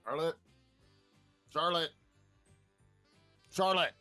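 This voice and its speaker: A man's voice: a little high, very slow, pushed and bright, faint music behind, heavily acted. A man playing an insistent television news anchor in a live comedy table read. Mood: on-air insistence.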